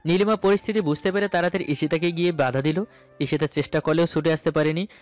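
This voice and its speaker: A man's voice: a little high, fairly even intonation, quick pace. A man narrating a romantic story dramatically in the first person, as its male lead. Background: background music.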